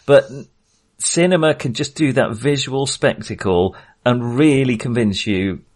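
A man's voice: mid-range voice, measured pace, darkish tone. A man co-hosting a film podcast, talking casually in a hesitant, self-qualifying way with real enthusiasm.

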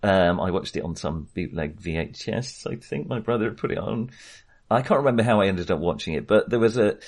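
Man with animated, low-pitched delivery. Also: brisk, breathy edge. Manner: hesitant, thoughtful, circling back on phrases. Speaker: podcast co-host. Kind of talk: casual film discussion.

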